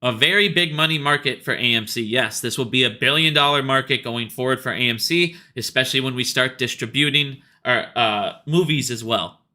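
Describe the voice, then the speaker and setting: Forceful, bright-voiced man talking business. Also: mid-range voice, a little fast, hardly any pauses. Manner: emphatic, blunt, profane. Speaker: retail investor commentator. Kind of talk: casual opinionated monologue about business.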